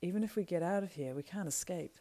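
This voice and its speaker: A woman voicing an anguished male hobbit ring-bearer in a storybook, halting and intense. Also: fairly low pitch, mellow, close to an everyday voice. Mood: hopeless.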